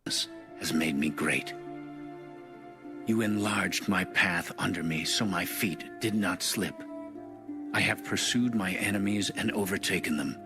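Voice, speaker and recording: A low-pitched man narrates scripture with a measured, solemn delivery. Music plays clearly behind his scripted reading. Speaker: audio Bible narrator.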